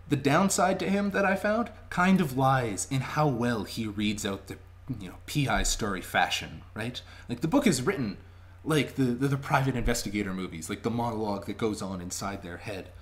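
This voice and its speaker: A young adult man talking casually and emphatically to a webcam at home, chatty and enthusiastic as he gives a review. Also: mid-range voice. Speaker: vlogger reviewing audiobooks. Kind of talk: casual spoken review to camera. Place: small indoor room at home. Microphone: webcam microphone at arm's length.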